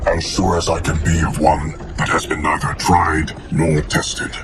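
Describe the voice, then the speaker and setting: An actor playing an ancient, loyal steward of time in a video game, speaking in a measured, formal, solemn way. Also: male voice, extremely low pitch, level tone, background noise. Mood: calm, grave. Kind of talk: video game cinematic acting. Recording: music behind the voice. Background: music.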